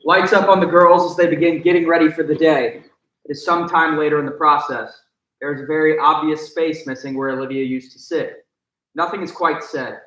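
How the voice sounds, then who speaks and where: A man with a slightly high voice reads in an echoey room. He delivers stage directions as scripted narration for a play reading. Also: steady tone, a little fast, a bit bright.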